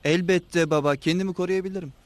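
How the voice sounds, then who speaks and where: Cartoon youngest brother, male actor: fairly high voice, youthful, earnest, confident. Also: steady tone, fast talker, dry recording, lightly acted. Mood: eager. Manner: earnest, reassuring. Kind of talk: cartoon dub acting.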